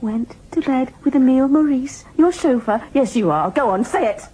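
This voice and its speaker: A headstrong young niece in a radio drama, her pitch swinging widely. She is emotional, by turns coy and indignant. There is faint noise behind her. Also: lively intonation, moderate pace, mellow, rough recording. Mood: defiant, angry. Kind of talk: audio drama acting.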